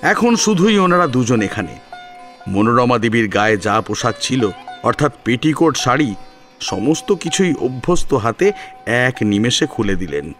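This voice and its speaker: Animated male storyteller. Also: medium pitch, normal speed, soft background music. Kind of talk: dramatic narration of a serialized story.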